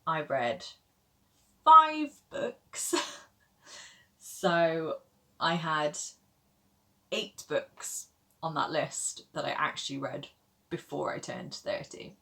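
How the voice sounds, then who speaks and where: A woman in her thirties talks casually to her camera about her reading. Her voice sits low for a woman, and she rambles along with plenty of "like" and "um" and small self-deprecating laughs. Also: lively intonation, extremely slow, vocal fry, long pauses, pushed and bright. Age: 30s. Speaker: book vlogger.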